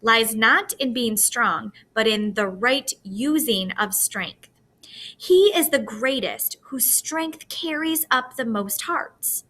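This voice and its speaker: A woman voicing a dignified male school principal giving an earnest, measured ceremonial speech in a storybook reading. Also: on the high side, lively intonation, sharp tone, full character voice. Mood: solemn, moved.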